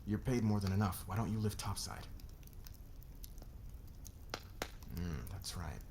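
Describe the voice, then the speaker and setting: A man playing a demanding, controlling boss in an ASMR roleplay, speaking in a measured, deliberate, commanding way with a gravelly voice. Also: lowish voice, a little mellow, slight background noise, lightly acted. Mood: firm, possessive. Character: demanding, controlling male boss, a powerful calm lord.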